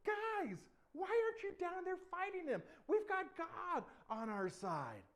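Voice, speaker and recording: An earnest young shepherd boy played by a male storyteller speaks in a high, lively voice. He is awkwardly polite yet indignant and bold, as in a Bible tale told aloud. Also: unhurried, full character voice. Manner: high, stammering politeness turning to defiance.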